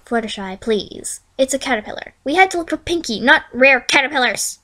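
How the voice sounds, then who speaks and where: Woman playing a young female pony, sensible, exasperated, earnest, high-pitched, cartoon fan story. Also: expressive, fairly quick, sharp tone, full character voice.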